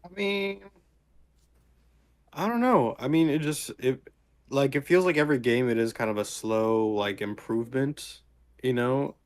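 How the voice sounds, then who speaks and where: A man co-hosting a casual podcast, speaking in a halting way as he searches for words. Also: medium pitch. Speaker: podcast co-host.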